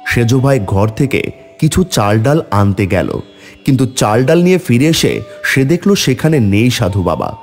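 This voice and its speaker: A man's voice: medium pitch, very animated, normal speed, faint music behind. A man narrating a scripted folk tale in a dramatic storytelling style.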